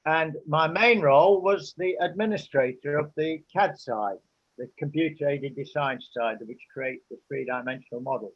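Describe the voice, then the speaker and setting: Older man with a muffled voice, hesitant. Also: on the high side. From England. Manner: unhurried, hesitant with frequent fillers. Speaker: interviewee. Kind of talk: casual interview answers.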